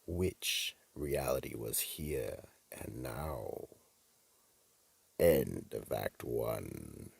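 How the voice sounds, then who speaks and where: A man narrating a radio mystery as an ominous storyteller, slow and dramatic, with a very deep, rough, gravelly voice. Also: sing-song, exaggerated voice.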